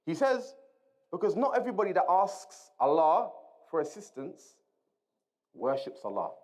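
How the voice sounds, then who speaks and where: Guy teaching islamic class, medium pitch, explains arabic terms, repeats stuff so students follow. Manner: didactic, repeats phrases and checks with listeners. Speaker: religious teacher.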